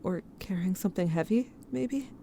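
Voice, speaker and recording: A woman playing a haunted, grieving diarist in an audio drama, narrating in a measured, brooding and intimate way. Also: on the low side, creaky, dark tone, a little hiss or hum. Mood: anguished. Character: haunted, grieving diarist recounting dreams to a lost sibling. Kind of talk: scripted first-person audio drama narration.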